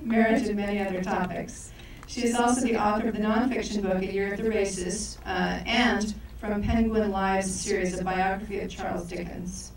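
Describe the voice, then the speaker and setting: A woman's voice: medium pitch, a little mellow. Woman host reading intros on stage, far mic in a big reverberant hall, faint hiss. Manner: reads bios with stumbles and self-corrections. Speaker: festival co-director introducing speakers. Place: large echoey event hall.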